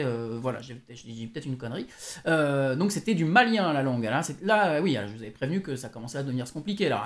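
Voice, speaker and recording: A man hosting a music quiz as a DJ, chatting casually and playfully, rambling and correcting himself as he goes. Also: fairly high pitch. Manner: chatty, rambling, many restarts and self-corrections; playful, jokey asides. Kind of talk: casual live music show chat.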